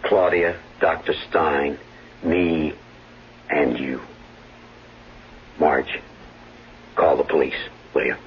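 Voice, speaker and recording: Cold accusing husband, very deep bass, deliberate, 1970s radio acting, muffled humming recording. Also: male voice, a little hiss or hum. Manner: measured, deliberate. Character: grim, coolly accusing husband exposing a plot.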